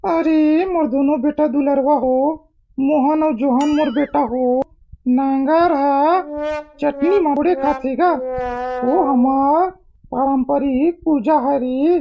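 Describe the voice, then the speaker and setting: Woman acting a high-pitched, creaky doting village mother. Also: takes it slowly, highs cut off. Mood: patient explaining. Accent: Chhattisgarhi. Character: doting village mother, scolding yet patient. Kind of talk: comedy cartoon dialogue. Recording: music behind the voice.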